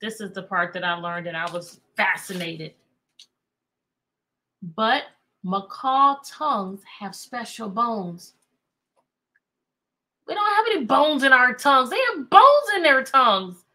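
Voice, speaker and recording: Bright, high-voiced American woman teacher. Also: takes it slowly, long pauses. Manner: animated, question-asking, chatty asides to young listeners. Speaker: teacher and read-aloud host. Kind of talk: interactive children's read-aloud lesson. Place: indoor room with bookshelves.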